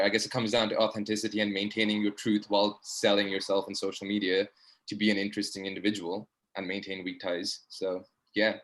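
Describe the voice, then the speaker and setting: A man's voice: fairly low pitch, fairly bright tone. Earnest young man asking a rambling question. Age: young adult. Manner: earnest, rambling with many fillers. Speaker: audience participant, medical student. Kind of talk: online discussion question.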